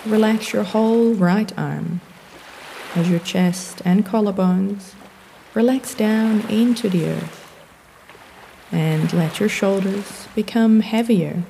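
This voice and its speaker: A woman leading a guided meditation in a calm, gentle, soothing and measured manner. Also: medium pitch, gravelly, slight background noise. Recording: long reverb, background wash of waves. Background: relaxing ocean waves.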